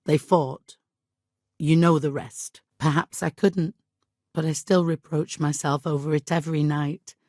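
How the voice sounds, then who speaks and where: An actress playing a middle-aged medieval village widow in a video game, devout and guilt-ridden, with an exasperated, imperious and plaintive manner. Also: female voice, deep voice, steady tone, unhurried, a little mellow, no room sound, studio quality. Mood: guilty, remorseful. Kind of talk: dramatic video game dialogue acting.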